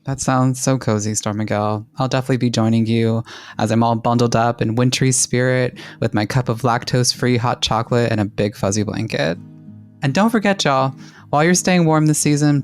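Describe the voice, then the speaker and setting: A man's voice: medium pitch, a little fast. A man co-hosting a podcast, reading a friendly, upbeat outro. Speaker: podcast co-host.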